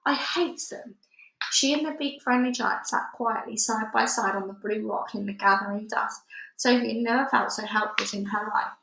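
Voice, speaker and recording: A woman's voice: fairly high pitch, normal speed, creaky, sharp tone, a little hiss or hum. A woman reading a children's story aloud as narrator. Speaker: storybook reader. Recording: echoey, roomy home-style recording.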